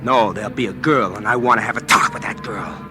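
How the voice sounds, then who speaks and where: A man's voice: lowish voice, brisk, noisy background, lightly acted. An actor playing a vengeful young Texan drifter who hides his scheming behind folksy talk, in the style of 1940s radio suspense. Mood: brooding. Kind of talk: 1940s radio suspense acting, first-person narration. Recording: old radio recording with music behind.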